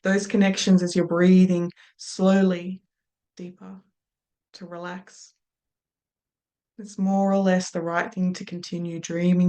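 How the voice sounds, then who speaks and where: Woman giving a soft hypnotic induction. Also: fairly low pitch. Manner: slow, soft and trailing, with repeated phrases. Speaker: hypnosis trainer.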